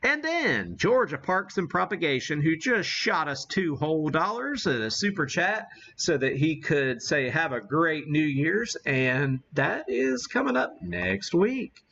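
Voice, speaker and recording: A man's voice: fairly high pitch, big pitch swings, unhurried, gravelly, hardly any pauses, fairly bright tone, a little hiss or hum. Man livestreaming in slightly dull audio. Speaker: host of a ham radio livestream. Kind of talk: casual live-stream chat and demonstration.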